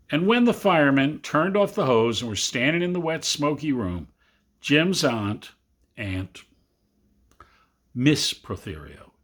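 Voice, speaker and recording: Man, storybook narrator, slow pace, wide swooping intonation, deliberate dramatic pauses. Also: medium pitch, fairly bright tone. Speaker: reader. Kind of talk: expressive storybook narration for children.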